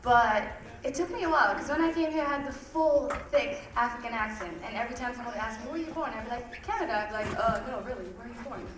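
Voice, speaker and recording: A woman's voice: on the high side, fast talker, noisy background. Candid young woman speaking in a hall. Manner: candid, conversational, with rhetorical questions. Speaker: guest speaker. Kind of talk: personal speech to an audience. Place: event hall. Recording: roomy hall from a distance.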